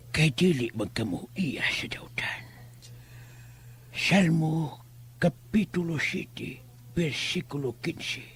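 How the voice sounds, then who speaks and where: A man playing a solemn, righteous elder healer in a horror radio drama, grave, deliberate and preaching. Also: on the high side, slightly rough, heavily acted.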